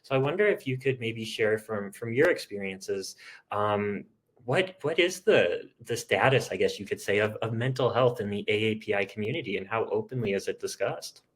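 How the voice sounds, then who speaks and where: A man's voice: on the low side, animated, moderate pace, slightly rough. A man interviewing a guest, thanking her and asking thoughtful, supportive questions. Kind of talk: interview conversation.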